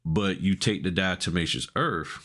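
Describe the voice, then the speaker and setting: Male podcast co-host, American, low register, colloquial and folksy. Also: moderate pace, fairly bright tone. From Florida, United States. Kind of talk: casual chat.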